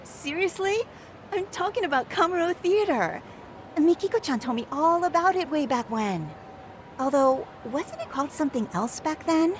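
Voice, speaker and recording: An actress playing a gentle woman who recalls an old friend's past in a conversational, wistful way for a video game cutscene. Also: sits high, lively intonation, normal speed, a little hiss or hum. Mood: encouraging. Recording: studio voice with music behind.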